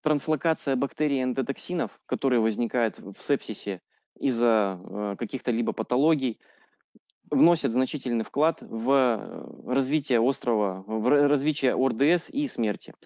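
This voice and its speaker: A male interpreter relays a medical lecture sentence by sentence, halting on fillers and fixing slips as he goes. His mid-pitched voice comes through dry and close, with a dull lo-fi call sound. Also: darkish tone, no room sound. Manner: halting, with frequent fillers and self-corrections. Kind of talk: consecutive interpreting.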